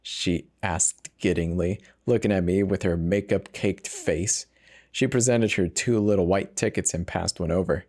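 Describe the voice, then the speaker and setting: A man's voice: low-pitched, steady tone, unhurried, darkish tone. A man narrating a first-person horror story in a dramatic scripted reading, with a weary edge. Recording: clear noise bed behind the voice.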